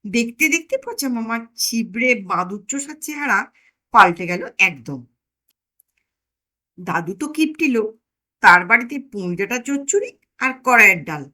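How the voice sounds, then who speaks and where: A woman narrating a comic audio story in an expressive, emphatic storytelling manner. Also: a little high, normal speed.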